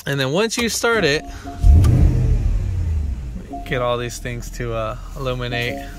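A man in his thirties, an auto mechanic, talks viewers through a repair in an informal, halting, conversational way into a handheld phone. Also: mid-range voice, sing-song, fairly bright tone, faint music behind. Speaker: auto mechanic and channel host. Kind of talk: informal hands-on repair explainer to camera. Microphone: handheld phone at arm's length.

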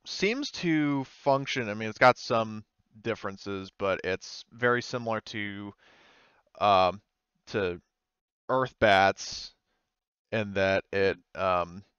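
Guy running a tabletop game, kinda halting explanations, lowish voice, slightly muffled dry mic. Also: a bit bright, smooth, no room sound. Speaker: game master. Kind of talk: improvised tabletop game narration.

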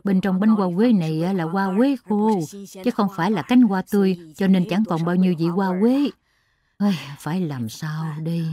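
A woman voicing a kindly, doting elderly grandmother in a dubbed cartoon, with a gentle, homely manner. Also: medium pitch, moderate pace, natural voice. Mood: worried.

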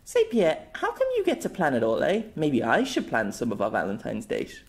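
A man voicing a playful, cheeky young woman in a storybook reading, with a high, cooing, wheedling manner. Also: huge pitch swings, moderate pace, darkish tone, heavily acted. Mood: teasing.

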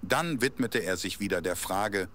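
A man's voice: fairly low pitch, animated, moderate pace, a bit bright, slight background noise. A man narrating a scripted audio story as its storyteller.

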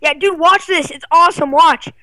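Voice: extremely high pitch, moderate pace, sharp tone, dry recording, rough recording. A child or young teen chatting casually and playfully as a co-player in a game. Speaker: co-player guest. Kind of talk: casual gaming chat.

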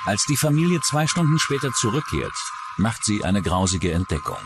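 A man's voice: fairly low pitch, sing-song, moderate pace, background noise. A man reading scripted narration for a true-crime documentary.

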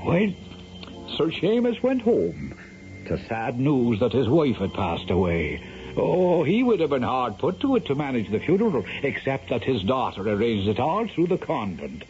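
A theatrical Irish rogue, swooping wildly. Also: male voice, medium pitch, wildly swooping pitch, moderate pace, a little mellow, soft background music. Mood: lively storytelling. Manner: theatrical, stammering when agitated. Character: roguish Irish storyteller voicing a blustering gambling knight. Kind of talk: 1970s radio drama acting. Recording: dull, noisy vintage radio recording.

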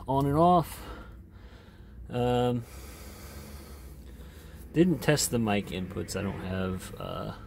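A man giving a casual, unscripted product demonstration as an online seller, plainspoken and a bit halting. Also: fairly low pitch, vocal fry, a little mellow, a little hiss or hum.

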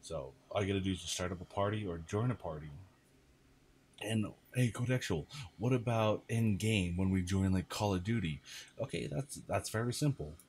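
A man giving a casual, informal software tutorial, chatting to viewers as he goes. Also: sits low, moderate pace, a little hiss or hum. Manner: informal, addresses viewers as guys. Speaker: video creator, tutorial host. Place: indoors at a computer.

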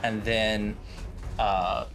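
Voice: medium pitch, little pitch movement, extremely slow, music in the background. A player chatting casually at a tabletop role-playing game.

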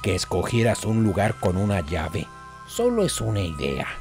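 Man as a low, weary cartoon bug sidekick. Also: moderate pace. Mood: dry. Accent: Latin American. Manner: deadpan. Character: weary, sarcastic little insect guide companion. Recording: background music behind the voice.

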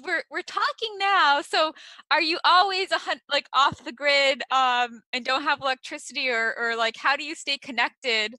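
A woman hosting a casual interview, bubbly and quick to laugh. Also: high-pitched, sharp tone, no room sound. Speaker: host.

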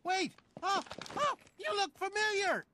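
An actor playing an excitable, anxious toy dinosaur in a video game, gushing and nervous. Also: male voice, extremely high pitch, a little slow, slight background noise, heavily acted. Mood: excited.

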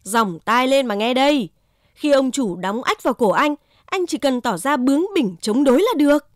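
A woman's voice: high-pitched, lively intonation, normal speed, dry recording, clean studio sound. A woman voicing a smug, boastful, idle horse in a cartoon fable. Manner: condescending, boastful.

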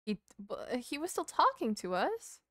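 A woman's voice: high voice, sing-song, unhurried, a bit bright, dry recording, clean studio sound. A woman live streamer chatting casually over a game, rambling and hesitant, swearing now and then. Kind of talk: casual chat, game playthrough commentary. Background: game music.

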